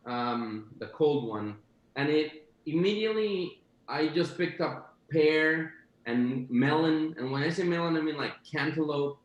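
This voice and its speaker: A man's voice: a little high, unhurried, reverberant, rough recording. A man from Costa Rica talking casually and with enthusiasm, describing food with many fillers. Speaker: chef guest. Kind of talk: casual video-call chat.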